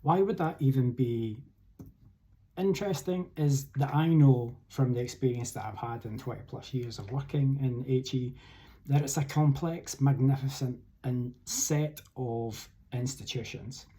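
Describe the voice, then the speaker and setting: A middle-aged man with a Scottish accent, giving an earnest, persuasive campaign address as a union election candidate, steady with an occasional "uh". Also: mid-range voice, a little slow. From Scotland.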